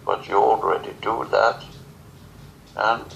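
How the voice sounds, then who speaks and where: A man with a low, heavily creaky voice speaks non-native English in solemn, broken phrases, as if delivering channeled spiritual teaching. His delivery is very slow, and there is faint noise behind him. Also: fairly bright tone, poor audio. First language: not English. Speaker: spiritual channeler answering questions.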